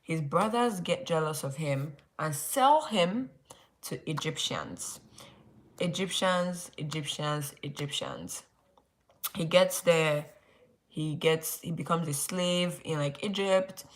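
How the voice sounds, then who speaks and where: Woman, Nigerian accent, young adult, low voice, rambling casual Bible teacher, lots of "like". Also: lively intonation, slow. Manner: rambling, restarts, heavy use of "like". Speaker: Bible study host. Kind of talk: casual late-night livestream teaching.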